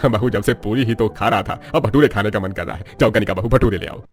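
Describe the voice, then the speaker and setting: A man's voice: on the low side, a little fast, soft background music, natural voice. A man playing an easygoing, food-loving older father-in-law in a story, coaxing and indulgent.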